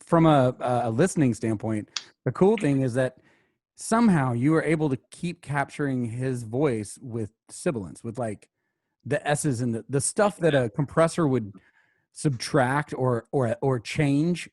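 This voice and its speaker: A man's voice: mid-range voice, unhurried, a little mellow, dry recording, clean studio sound. A man hosting a casual conversation, enthusiastically praising the vocal recording.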